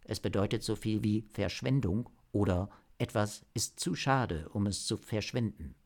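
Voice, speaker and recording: A man's voice: fairly low pitch, unhurried, a little mellow. A man voicing a factual, prompt artificial intelligence assistant with a precise, informative manner. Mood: matter-of-fact.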